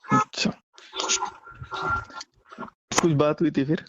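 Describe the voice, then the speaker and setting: A man confiding casually on a recorded phone call, in a hushed, halting way. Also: a little high, wildly swooping pitch, slight background noise.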